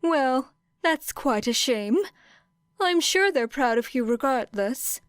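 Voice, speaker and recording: Lady doing a chirpy polite animal headmaster for an audiobook, high and clear, shrewd and upbeat. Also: animated, takes it slowly, dry recording, clean studio sound. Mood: polite, probing. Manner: polite, crisp, upbeat formal phrasing. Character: chirpy, courteous, shrewd animal school principal. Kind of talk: acted audiobook dialogue.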